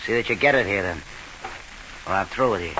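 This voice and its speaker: A man's voice: low-pitched, a little fast, natural voice. An actor playing a nasty, threatening gangster club owner in an old radio mystery, bullying and impatient, full of tough-guy slang. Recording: hissy old radio broadcast.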